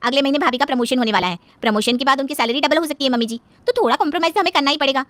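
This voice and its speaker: A woman voices a young working daughter-in-law in a household tale. She is pert and outspoken, rattling along very fast in a high, bright voice. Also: no room sound. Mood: persuasive. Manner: chatty, pert.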